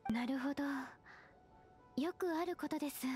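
A woman voicing a gentle, composed young half-beast secretary in an anime game, speaking politely and softly with quiet confidence. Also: sits high, lightly acted. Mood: gentle, assured. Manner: polite, soft-spoken, measured. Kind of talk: Japanese anime game voice acting. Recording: background game music.

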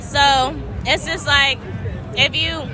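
A woman's voice: high-pitched, fairly even intonation, takes it slowly, music in the background, a crowd in the background. A young woman answering a street interview on a busy street at night, earnest and giggly.

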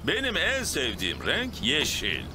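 A man's voice: on the high side, wildly swooping pitch, a little slow, heavy background noise. A man voicing a jolly, easygoing father pig with a hearty, chuckling manner in a children's cartoon. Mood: playful. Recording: music behind the voice.

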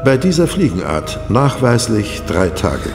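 A man's voice: fairly low pitch, very animated, a little slow, vocal fry, full character voice. An actor playing a wry forensic pathologist in a crime audio drama, savoring his explanations theatrically. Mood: relishing explanation. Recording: echoey hall, loud music behind.

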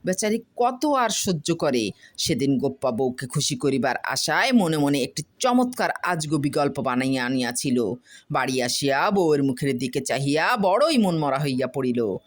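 A woman storyteller giving an expressive scripted narration of a humorous folk tale. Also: very deep voice, moderate pace.

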